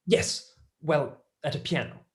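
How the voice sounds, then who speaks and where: A young man playing a passionate, blunt, excitable mathematician in a staged play reading. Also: on the high side, a little slow, natural voice. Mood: dismissive. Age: young adult.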